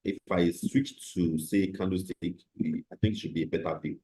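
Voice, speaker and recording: Deep husky-voiced man teaching a class. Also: fairly even intonation, mellow, poor audio. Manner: measured, explains step by step with fillers. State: slightly hoarse from a cold. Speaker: trading instructor. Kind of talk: live online class, chart analysis.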